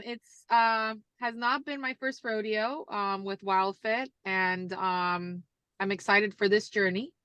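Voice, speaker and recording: Chatty mom from Venezuela, bright and crisp, dry lo-fi audio. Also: female voice, mid-range voice, no room sound. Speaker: mother of two young kids. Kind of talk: casual video call.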